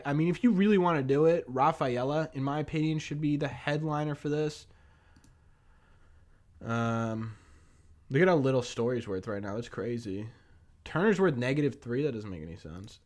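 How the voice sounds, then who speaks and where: A young man with a New England accent and a hoarse voice at a middle pitch. He hosts a loose live-stream sports chat, thinking aloud, rambling and chuckling as he answers his viewers. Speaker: sports podcast host.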